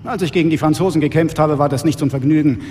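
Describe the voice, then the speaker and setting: An actor playing a haughty, fanatical intellectual villain in a radio drama, speaking in a pompous, preaching way. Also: male voice, a little high, quick pace, darkish tone, natural voice. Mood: scornful, fanatical. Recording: long reverb, faint music behind.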